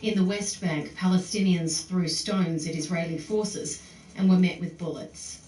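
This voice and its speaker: A lower-pitched woman narrates a news report in a steady, clipped way. Her voice comes from far off in a roomy space, with faint background noise. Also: unhurried. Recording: distant replayed broadcast, roomy, faint noise.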